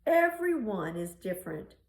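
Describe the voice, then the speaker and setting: A woman's voice: mid-range voice, very animated, a little slow. A woman voicing a tender, doting, wise old grandmother in a children's storybook. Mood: tender, reassuring. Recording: roomy, echoing room sound.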